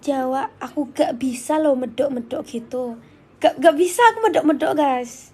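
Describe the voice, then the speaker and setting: High-voiced young woman, congested with flu. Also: normal speed, warm tone. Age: young adult. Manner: informal, slangy, chatty. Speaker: livestreamer answering viewer comments. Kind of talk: casual chat.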